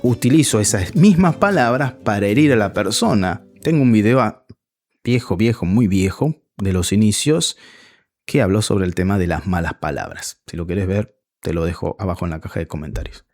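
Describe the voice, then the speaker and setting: Argentine male friar, chatty commentary straight to camera, animated delivery, faint music under. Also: on the low side, normal speed. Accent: Argentine Spanish. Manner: conversational, addresses viewer directly. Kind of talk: casual video commentary.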